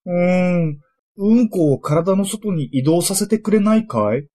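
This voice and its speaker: A man's voice: sits high, lively intonation, moderate pace, dark tone, slightly rough, pitched above the performer's own voice. A man playing a dim-witted, troubled young male in a comic radio drama, whining and repeating himself oddly.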